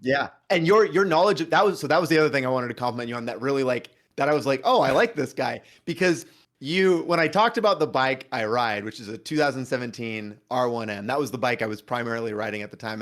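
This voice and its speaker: A man's voice: medium pitch, fast talker, fairly bright tone. A man calling in as a guest for a casual, sweary chat, talking earnestly and emphatically in a rambling way.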